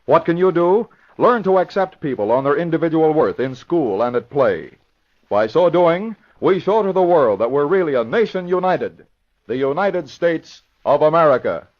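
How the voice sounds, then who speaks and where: A man announcing and narrating a vintage radio show in a formal, dramatic, scripted style. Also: a little high. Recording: dull lo-fi vintage radio recording.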